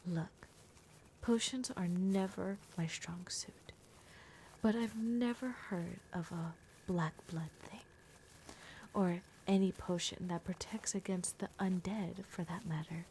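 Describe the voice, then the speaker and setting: A woman's voice: fairly low pitch, fairly even intonation, slow, mellow, a little hiss or hum. A woman voicing a blunt, protective young werewolf girl in an ASMR roleplay, often dropping into a whisper. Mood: exasperated. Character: gruff, protective young werewolf girl, exasperated but caring.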